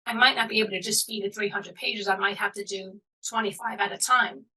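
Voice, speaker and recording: A chatty woman hosting a podcast, her voice bright and sharp yet husky at the edges. She rambles easily from point to point in a relaxed conversational way. She sits some distance from the mic, so the sound sits a little back. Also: medium pitch, fairly quick. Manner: chatty and rambling, with trailing asides. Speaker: podcast host. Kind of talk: casual conversational interview.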